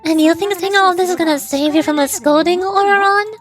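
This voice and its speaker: A woman's voice: very high-pitched, brisk, faint music behind. A woman voicing a stern, sarcastic granny character in game dialogue, exasperated and scolding. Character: scolding, sarcastic granny berating her young charge.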